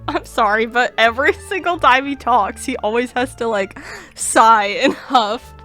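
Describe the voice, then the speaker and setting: Young streamer girl reacting to a game, high bright voice, gushy and giggly, faint music. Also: measured pace. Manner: gushing, giggly, exclaiming. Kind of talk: excited reaction commentary over a game.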